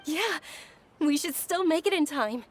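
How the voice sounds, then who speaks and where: A woman playing a gentle, frail young noblewoman in a video game, speaking softly and politely with earnest, measured delivery. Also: sits high, animated. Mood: eager.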